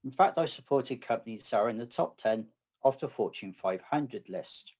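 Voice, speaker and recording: A middle-aged man with a West Country English accent, co-presenting a technical session in a measured, slightly wry way. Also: medium pitch, animated, a little mellow, slightly rough. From southwest England. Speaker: conference co-presenter. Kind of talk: scripted tech presentation.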